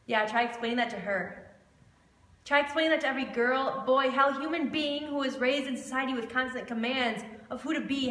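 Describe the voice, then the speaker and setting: High-voiced young woman performing slam poetry. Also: fairly bright tone. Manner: emphatic, rhythmic delivery with repeated phrases and deliberate pauses. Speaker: slam poet. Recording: echoey hall with long reverb.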